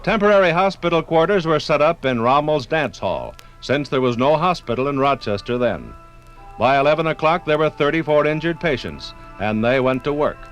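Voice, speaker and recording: An American man narrating a 1950s radio documentary from a script in a dramatic storytelling manner. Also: fairly high pitch.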